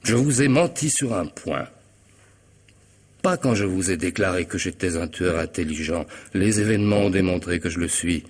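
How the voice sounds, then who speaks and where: A man's voice: deep voice, normal speed, husky. An actor playing a cunning, mocking hitman in a radio mystery, with theatrical irony and precise, formal diction. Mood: smug, cold. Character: cunning middle-aged hitman, smug, mocking, methodical blackmailer. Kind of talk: French radio mystery drama acting.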